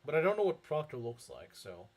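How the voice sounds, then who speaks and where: Lively, rambling young man streamer. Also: on the high side, a little fast. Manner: rambling, heavy filler words, frequent chuckles. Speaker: game streamer. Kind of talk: casual live-stream commentary reading chat.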